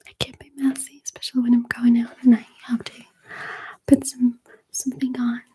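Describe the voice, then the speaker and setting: A woman chatting to live viewers in a breathy ASMR whisper, with a hesitant, nervous manner. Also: on the high side, completely flat delivery, a little fast, warm tone. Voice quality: breathy whisper, mostly unvoiced. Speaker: ASMR streamer hosting a live chat. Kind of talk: whispered ASMR casual livestream chat. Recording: faint background noise with clicks.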